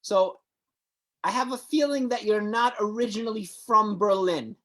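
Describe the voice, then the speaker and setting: A male host with a high-pitched voice leads the chat, teasing his guest with mock-serious questions. His tone stays playful. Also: moderate pace, fairly bright tone. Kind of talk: casual interview chat.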